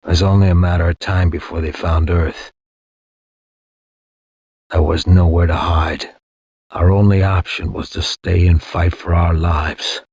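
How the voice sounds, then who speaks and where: A grim veteran soldier narrates a video game war story in a very low, heavily rasping voice. The delivery is slow, solemn and flat, with a war-weary weight. It sounds close and dry over faint music. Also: male voice, no room sound, dull audio, full character voice. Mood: grim, haunted. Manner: grave, measured, monotone. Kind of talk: solemn scripted cutscene narration.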